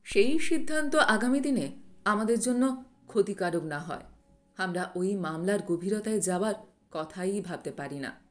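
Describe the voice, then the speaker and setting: A woman reading a scripted moral story in a measured, instructive manner, as a narrator. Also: mid-range voice, lively intonation. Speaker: storyteller, narrator of a motivational video channel.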